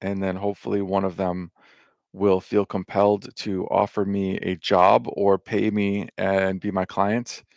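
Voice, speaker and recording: Gravelly low-voiced man rambling casually. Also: little pitch movement, measured pace, a little mellow, no echo, studio quality. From United States. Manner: loose and meandering, with lots of fillers, restarts and swearing. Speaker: vlogger.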